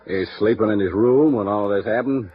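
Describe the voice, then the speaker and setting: Actor playing a bluff, deep-voiced small-town editor. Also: male voice, fairly quick, natural voice. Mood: gruff. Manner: gruff, folksy, blunt. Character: bluff, red-faced male small-town newspaper editor. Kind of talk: 1950s radio drama acting. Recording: muffled vintage radio broadcast with faint hiss.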